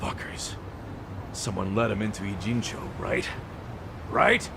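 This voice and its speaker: An actor playing a brash, hot-headed gang boss in a video game dub, with slangy, swaggering tough talk. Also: male voice, on the low side, animated, a little slow, creaky, noisy background, full character voice. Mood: bitter, angry. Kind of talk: English-dub video game cutscene acting. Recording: music behind the voice.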